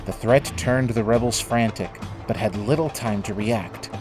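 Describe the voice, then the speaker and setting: A man narrating a scripted dramatic story in a measured, solemn way, with music behind him. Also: fairly low pitch. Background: prominent music.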